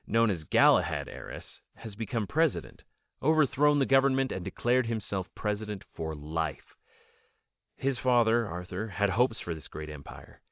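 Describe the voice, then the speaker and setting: A slightly muffled, close and dry audio drama recording of a man acting as a fallen aristocrat politician. His lowish voice moves with lively intonation. He tells his tale proudly and bitterly, weighing his words with theatrical pauses. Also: no echo. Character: ousted nobleman politician, jailed idealist, bitter and proud. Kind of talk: dramatic first-person audio drama monologue.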